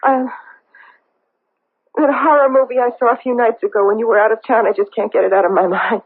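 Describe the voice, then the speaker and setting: An actress playing a troubled, evasive middle-aged wife hiding an anguished past in a 1970s radio drama. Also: female voice, on the high side, fairly even intonation, fairly quick, husky. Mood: uneasy, soothing. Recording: tinny, noisy old radio broadcast.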